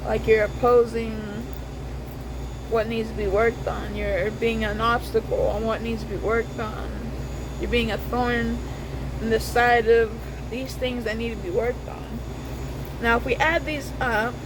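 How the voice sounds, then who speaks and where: A man with a high voice giving a casual tarot reading at work, chatty, swearing now and then, repeating himself. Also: little pitch movement, measured pace, background noise. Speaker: tarot reader.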